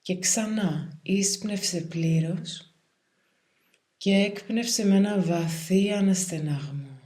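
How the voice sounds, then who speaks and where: A woman guides a meditation in a calm, soothing, measured way, leaving pauses between phrases. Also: mid-range voice. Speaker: yoga nidra meditation guide.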